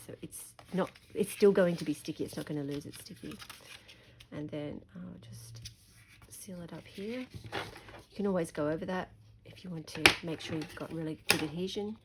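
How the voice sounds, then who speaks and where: An Australian woman with a slightly croaky voice, chatting through a hands-on craft tutorial at her desk. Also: on the low side, a little hiss or hum, low-quality recording. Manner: chatty, relaxed, thinking aloud. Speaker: craft demonstrator.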